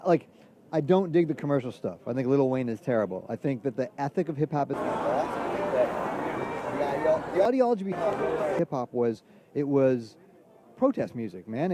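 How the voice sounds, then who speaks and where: A man from Trinidad chatting casually as a musician being interviewed, using relaxed hip hop slang. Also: mid-range voice, noisy background. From Trinidad, from San Fernando. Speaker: jazz trumpet player, interview guest. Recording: loud music in the background.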